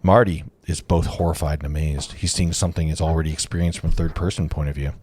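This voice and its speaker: Deep-voiced man reading a script aloud. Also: fairly even intonation, fast, darkish tone, husky. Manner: informal, breaks off to comment and joke. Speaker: narrator reading stage directions at a table read. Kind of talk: casual live script read-through.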